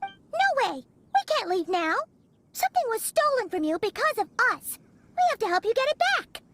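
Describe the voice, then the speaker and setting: Squeaky, bouncy little companion. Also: female voice, normal speed, heavily acted. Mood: insistent. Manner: animated, eager, insistent. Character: tiny chirpy female travel companion, excitable. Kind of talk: video game voice acting.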